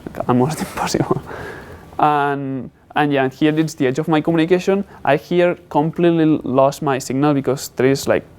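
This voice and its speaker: A man giving a student technical presentation in halting, English. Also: on the high side, a little mellow, clean tone. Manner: halting, non-native English phrasing. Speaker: student presenting a capstone project.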